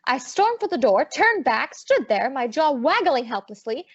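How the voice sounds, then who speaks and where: A woman's voice: high voice, sing-song, moderate pace, slightly rough, full character voice. A young woman playing a spirited female private detective, breezy and wry, in old-time radio detective style. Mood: wry narration. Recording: dull lo-fi audio, very dry.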